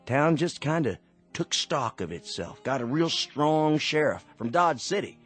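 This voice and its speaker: An actor playing a chatty, proud Old West saloon keeper in a radio drama, with an American accent and a folksy, earnest manner. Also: male voice, fairly high pitch, big pitch swings, unhurried, fairly bright tone, soft background music, heavily acted.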